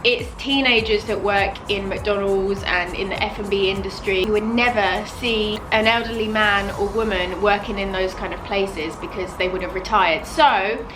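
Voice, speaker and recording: A young British woman in her twenties, talking to camera in a casual, animated vlog and using "like" a lot. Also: mid-range voice, moderate pace, few pauses, low-quality recording. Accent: British English. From United Kingdom. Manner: chatty, animated, fills with "like". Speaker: vlogger. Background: background music.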